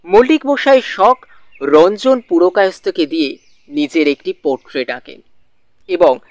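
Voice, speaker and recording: A man narrating a literary suspense story in a measured way, with deliberate pauses. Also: high-pitched, animated, dry recording. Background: soft music.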